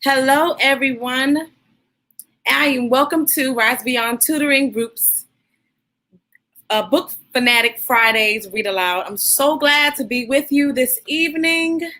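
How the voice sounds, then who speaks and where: A woman hosting a children's read-aloud, speaking in an animated, encouraging way straight to her young listeners. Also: high-pitched, measured pace.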